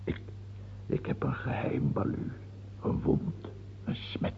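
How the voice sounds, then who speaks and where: A man acting in a radio play as an old, ailing king, fearful and self-pitying, with a halting, muttering, brooding delivery. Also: natural voice. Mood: fearful, confiding. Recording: muffled phone-like old radio recording, hiss and hum.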